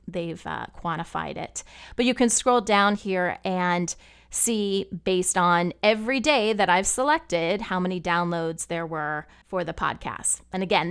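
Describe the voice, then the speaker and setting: A woman host giving a casual, explanatory walkthrough of podcast stats software, talking the listener through each step. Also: mid-range voice, clean tone. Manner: casual, explanatory, uses "you know" and "gonna". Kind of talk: screen-share software tutorial.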